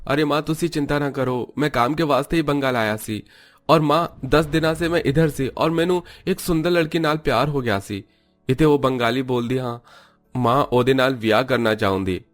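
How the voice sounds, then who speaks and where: A man's voice: mid-range voice, normal speed, soft background music, close to an everyday voice. A man voicing an earnest young Punjabi son, coaxing and reassuring, in a cartoon story. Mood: hopeful.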